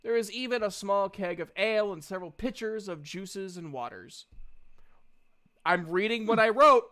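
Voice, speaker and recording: A man hosting a tabletop role-playing session as game master, talking in a playful, animated, casual way with some swearing. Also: high voice, normal speed.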